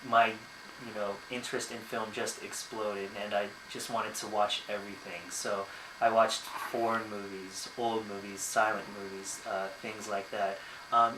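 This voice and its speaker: A man's voice: fairly low pitch, flat delivery, a little slow. Hesitant young man, echoey bedroom. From United States. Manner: hesitant, many ums and uhs. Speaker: vlogger. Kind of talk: casual talk to camera. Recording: echoey room, noisy background.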